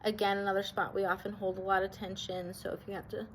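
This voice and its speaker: A woman's voice: medium pitch, flat delivery, fast, rough recording. Woman guiding meditation, some background noise. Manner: gentle, reassuring, permissive instruction. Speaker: guided meditation instructor. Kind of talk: guided grounding body scan meditation.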